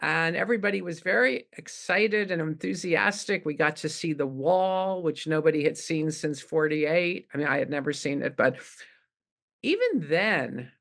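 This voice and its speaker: A woman's voice: deep voice, expressive, moderate pace, gravelly, fairly bright tone. A woman in her seventies from the New York suburbs, a rabbi telling her story in a thoughtful, wry way as a podcast guest. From United States (New York suburbs). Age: 70s. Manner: thoughtful, laughs wryly while talking. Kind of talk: reflective conversational storytelling.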